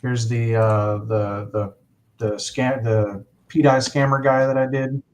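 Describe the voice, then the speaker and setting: A man's voice: mid-range voice, steady tone, slow, a little mellow. A man hosting a casual livestream, chatting enthusiastically and rambling with lots of fillers. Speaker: livestream host.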